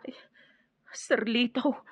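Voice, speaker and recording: A woman's voice: drawn-out words, no echo, whispered. A woman playing a nervous, talkative, deferential household servant with an Ilocano accent in a radio drama. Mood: startled, nervous. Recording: dull, dry radio drama audio.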